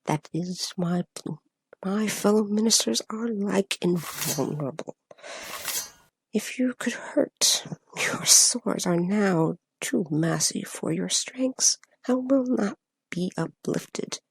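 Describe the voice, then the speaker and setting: A woman playing an airy spirit servant in an amateur Shakespeare radio play, breathy and often dropping into a whisper. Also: medium pitch, slow, dry recording, full character voice, poor audio. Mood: menacing, solemn. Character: Ariel, airy spirit servant, menacing minister of fate, eager to please.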